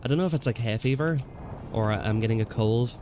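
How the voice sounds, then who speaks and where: A man's voice: mid-range voice, quick pace, over music. A young man livestreaming a game, chatting in a laid-back way with viewers, stuffy from a cold. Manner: chatty, laid-back, answers chat, says "man" and "bro" often. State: congested from a cold. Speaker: gaming livestreamer. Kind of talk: casual live stream chat while playing.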